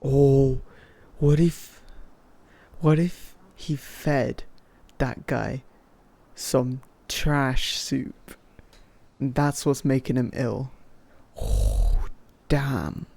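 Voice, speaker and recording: Slow mumbly guy streaming a game, dark voice with lots of fry, joking around. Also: mid-range voice, very animated. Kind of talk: casual, off-the-cuff gameplay commentary.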